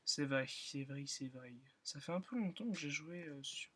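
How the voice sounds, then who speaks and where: A young man who is still in school, slightly hoarse, rambling and thinking aloud with many fillers as he comments on his own gameplay. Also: on the high side, normal speed, a little hiss or hum. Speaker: gaming channel host. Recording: loud keyboard and mouse clicking.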